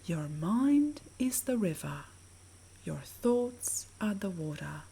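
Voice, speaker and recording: A woman with a soft, breathy voice narrating a scripted guided sleep meditation in a soothing, measured, gently instructing way. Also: mid-range voice, big pitch swings.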